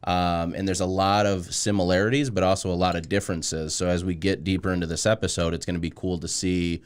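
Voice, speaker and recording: Podcast host: man, low-pitched, resonant, informal and easygoing in conversation. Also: flat delivery, fairly quick, clean tone.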